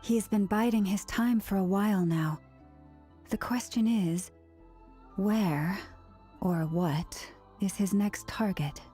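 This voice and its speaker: A woman's voice: medium pitch, slow pace, dark tone, faint music behind, close to an everyday voice. A woman playing a poised, dutiful guardian in a video game, speaking with composed, earnest delivery. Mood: concerned.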